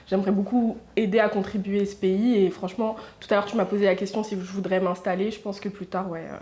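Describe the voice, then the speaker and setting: A woman in her twenties who recently finished a business degree speaks in a documentary interview. Her voice is mid-pitched and mellow, and she talks thoughtfully, pausing now and then to find her words. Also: flat delivery. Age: 20s.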